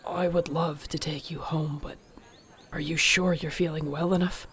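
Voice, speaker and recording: A woman playing a protective, devoted orc warrior girlfriend in an intimate audio roleplay, speaking softly, breathily and earnestly. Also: deep voice, steady tone, moderate pace. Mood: caring, firm.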